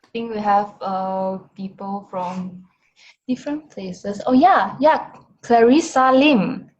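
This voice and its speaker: A woman's voice: medium pitch, slow, lo-fi sound. A woman moderating an online design talk over a video call, chatty, laughing often and using many fillers.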